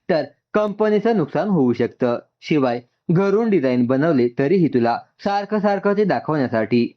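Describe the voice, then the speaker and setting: A man's voice: mid-range voice, highs cut off, natural voice. A man reading as a firm office manager. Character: brisk male office manager, firm and businesslike.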